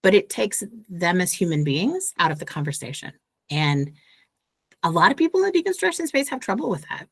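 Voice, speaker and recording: A woman author being interviewed, explaining ideas earnestly with lively asides. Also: on the low side, a little fast, husky, no echo, clean studio sound. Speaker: author and guest. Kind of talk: conversational interview.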